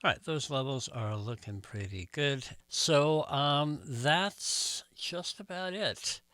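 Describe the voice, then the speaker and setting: A man giving a casual step-by-step tutorial, explaining things in a measured way with pauses. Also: on the high side, bright, forceful tone, faint music behind. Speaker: host of a record collecting tutorial channel.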